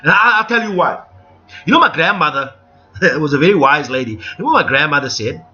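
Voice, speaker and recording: A middle-aged man with a South African accent talks live to his viewers, opinionated and digressive, often restarting his sentences. His voice sits high and sharp. The stream sounds dull and lo-fi, with faint music underneath. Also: lively intonation, fairly quick. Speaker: livestream host. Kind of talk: unscripted live commentary to viewers.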